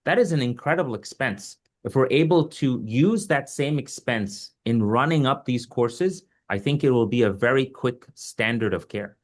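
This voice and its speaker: A man's voice: mid-range voice, animated, normal speed, hardly any pauses. An earnest man from Egypt answers questions as an invited surgical expert. He explains step by step and stresses his points, often with practical examples. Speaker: surgeon and invited webinar speaker.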